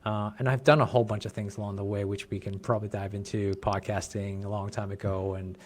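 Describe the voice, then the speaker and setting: A Canadian man in his forties talks quickly in a relaxed, rambling way, circling back and restarting his sentences. His voice sits fairly low. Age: 40s. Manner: fast, rambling, restarts and laughs. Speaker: podcast guest, chiropractic coach. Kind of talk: casual interview chat.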